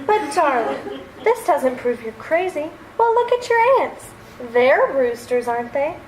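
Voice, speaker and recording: A woman's voice: high voice, very animated, measured pace, crowd noise. A woman playing a spirited, indignant young fiancée in an old-time radio play. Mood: indignant, pleading. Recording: echoey room, clear background noise.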